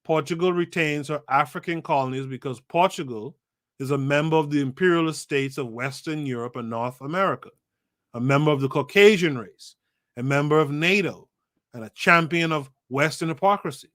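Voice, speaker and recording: A man's voice: a little high, brisk, a bit bright. Emphatic man reading aloud on a livestream. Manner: emphatic, repeats phrases for effect; talks to a live chat audience. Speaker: podcast host. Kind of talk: reading a book aloud with live commentary.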